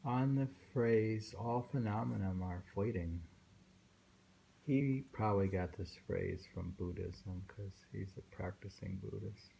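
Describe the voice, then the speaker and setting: A man reading poems aloud informally and commenting on them in a halting, musing way. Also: lowish voice, fairly even intonation, creaky, dark tone, a little hiss or hum, rough recording. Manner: halting, musing, with pauses and self-questioning. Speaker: reader and host discussing poems.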